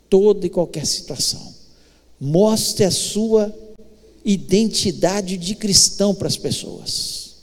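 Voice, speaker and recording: A man with a Brazilian accent preaching a church sermon, exhorting emphatically with deliberate pauses. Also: sits high, darkish tone, long reverb tail. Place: church, live worship service.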